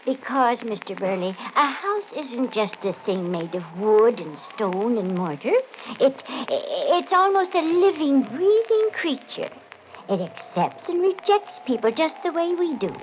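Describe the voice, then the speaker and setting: A woman's voice: fairly high pitch, big pitch swings, measured pace. An actress playing an eerie, insistent woman obsessed with her house, pleading and ominous in a 1950s radio mystery style. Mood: eerie, intense. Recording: muffled, noisy 1950s radio recording.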